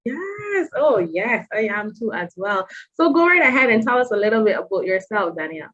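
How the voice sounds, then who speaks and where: A woman hosting an online interview show, welcoming viewers slowly and emphatically and repeating her words. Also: mid-range voice, sing-song, a bit bright, highs cut off. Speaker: podcast host.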